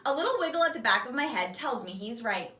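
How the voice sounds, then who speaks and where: A woman reads a children's book aloud with the expressive delivery of a teacher. Her voice sits fairly high and moves around animatedly. It is recorded from some distance in an echoey room. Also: quick pace. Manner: animated, acts out the dialogue. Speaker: fifth grade teacher reading aloud. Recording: roomy echo, distant microphone.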